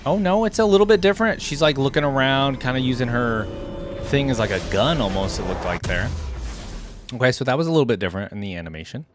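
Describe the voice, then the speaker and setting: A man's voice: mid-range voice, animated, quick pace, over music. A man giving casual reaction commentary on video game character skins, thinking aloud as he goes. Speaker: reaction channel host.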